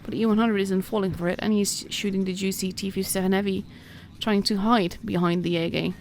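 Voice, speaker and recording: A woman's voice: mid-range voice, fairly even intonation, fairly quick, slight background noise. A woman with a Dutch accent giving casual, chatty live commentary on a game as a stream host. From Netherlands. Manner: chatty, informal, reacting as play unfolds.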